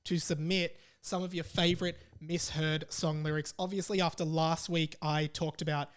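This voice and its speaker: A man's voice: fairly high pitch, little pitch movement, normal speed, clean tone. Aussie guy doing a solo podcast, casual jokey chat, repeats himself for emphasis. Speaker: podcast host.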